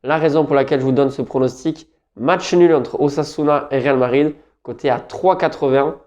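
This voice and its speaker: A man's voice: medium pitch, dark tone, muffled recording. A French man giving football betting tips in a casual, rambling talk, often correcting himself. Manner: chatty, rambling, self-correcting. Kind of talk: casual solo talk to camera.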